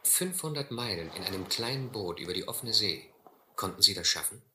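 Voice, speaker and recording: A man playing an earnest gentleman who narrates his own ordeal at sea in a German radio play, measured and grave. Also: lowish voice, lively intonation, close to an everyday voice. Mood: grave, reflective. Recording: roomy, faintly hissy old radio play.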